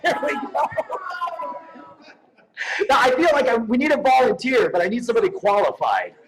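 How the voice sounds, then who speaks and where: A man's voice: extremely high pitch, sing-song, normal speed, a crowd in the background, low-quality recording. A man doing joking, teasing showman patter as a magician and presenter at a live event. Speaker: stage magician co-presenting an awards show. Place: live event stage before an audience.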